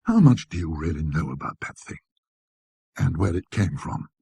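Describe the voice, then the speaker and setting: A man voicing an uneasy, inquisitive, educated gentleman who speaks formally and deliberately in a ghost story. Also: low-pitched, very animated, creaky, slightly rough, no room sound, studio quality, pitched below the performer's own voice, full character voice. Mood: probing, thoughtful.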